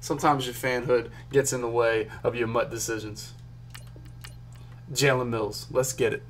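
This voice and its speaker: A young man from Philadelphia, a gaming streamer, chatting casually and excitedly to his chat while opening card packs in a small room at home. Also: medium pitch, normal speed, a little hiss or hum. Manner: chatty, hyped, talks to chat while clicking. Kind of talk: casual pack-opening commentary.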